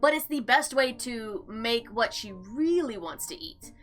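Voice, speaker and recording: A woman giving casual, chatty gameplay commentary and reading visual novel text aloud in an expressive, theatrical way. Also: fairly high pitch, a little slow. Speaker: game player and narrator. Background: background music.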